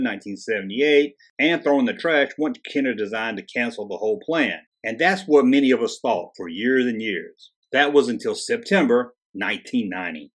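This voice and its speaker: A man with a husky, unpolished voice narrates as the host of a video channel. His delivery is casual and conversational, as if following a loose script. He drops in sarcastic, wisecracking asides as he goes. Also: medium pitch.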